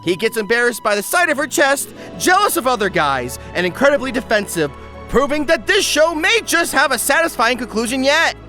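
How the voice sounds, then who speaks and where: A man's voice: extremely high pitch, sing-song, a little fast, pushed and bright, soft background music. A man narrating a scripted comedic anime review in a wry, exaggerated, joking way. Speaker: video essay narrator.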